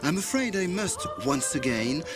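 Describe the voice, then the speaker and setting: An actor playing a suave, easily exasperated French villain in a video game, formal and theatrical, with a French accent. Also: male voice, fairly high pitch, sing-song, normal speed. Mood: smug, courteous. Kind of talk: video game cut-scene voice acting. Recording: music behind the voice.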